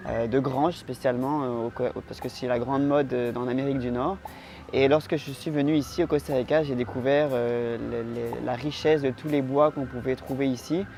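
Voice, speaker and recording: A calm man from France talks at a middle pitch, pausing often with filler sounds as he answers questions. Music plays clearly beneath his voice throughout. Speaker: interior designer, interviewee. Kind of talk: casual interview answers. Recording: music playing underneath.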